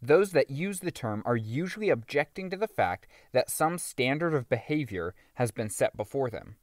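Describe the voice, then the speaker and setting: A man narrates a religious book in a lively, varied delivery at a middling pitch. His phrasing is deliberate and emphatic, stressing key words. The sound is dry, with very little room in it. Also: dry recording. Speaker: audiobook narrator.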